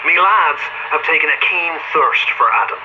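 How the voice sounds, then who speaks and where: An actor playing a menacing, mock-polite Irish gang leader in a video game, taunting over a radio. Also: male voice, fairly high pitch, expressive, moderate pace. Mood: cold menace. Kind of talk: video game villain acting. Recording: dull, very noisy radio transmission.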